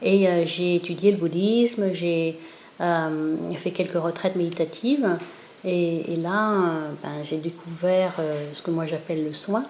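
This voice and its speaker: A woman from Cambodia, speaking as an interview guest in a gentle, hesitant way with many pauses. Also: lowish voice, roomy sound, slight background noise. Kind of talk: spontaneous interview, reflective talk.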